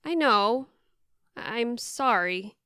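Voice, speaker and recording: A woman's voice: fairly high pitch, expressive, very slow, pushed and bright, clean tone, dry recording, full character voice. A woman playing an exasperated, independent grown daughter in an audio play, young adult, dry and wry in manner. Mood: hurt. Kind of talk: audio theatre dialogue.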